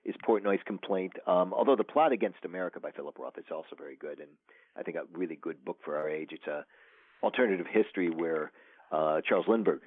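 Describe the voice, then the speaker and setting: A very deep-voiced man speaks over a thin, phone-like line with a dry, close sound. He is an author chatting as a guest, wandering through his thoughts with lots of fillers and false starts. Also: fast, no echo. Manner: rambling, many fillers and restarts. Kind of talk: casual interview talk.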